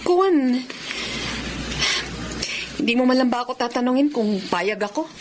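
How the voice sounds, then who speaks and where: A timid, pleading mother and wife from a Filipino radio drama. Her high voice is meek and halting, on a clearly noisy recording. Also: animated. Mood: fearful pleading.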